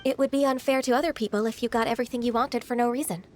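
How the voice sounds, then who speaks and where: A woman playing a gentle, earnest young half-immortal in a video game, polite, measured and slightly hesitant. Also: fairly high pitch, fairly even intonation, a little hiss or hum, natural voice. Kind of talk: anime-style video game acting.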